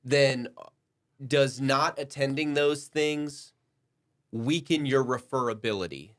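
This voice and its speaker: A man's voice: medium pitch, slow. Man hosting a casual podcast discussion. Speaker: podcast host.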